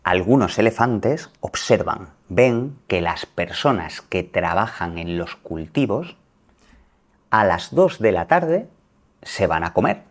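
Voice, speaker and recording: A man teaching in a video, telling a simple story slowly for learners and rephrasing words as he goes. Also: lowish voice, big pitch swings. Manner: clear explanatory delivery, rephrasing words.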